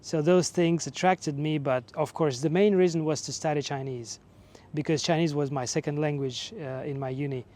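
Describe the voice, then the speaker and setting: A man in his forties from Russia, speaking English, telling his own story in a relaxed, measured way with fillers. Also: fairly high pitch. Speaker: vlogger and teacher. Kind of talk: casual personal storytelling.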